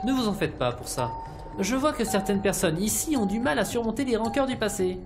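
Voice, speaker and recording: A woman's voice: a little high, expressive, brisk, music in the background. A woman playing an earnest, defensive male scientist of small stature in an audio drama, speaking in formal, wordy phrases.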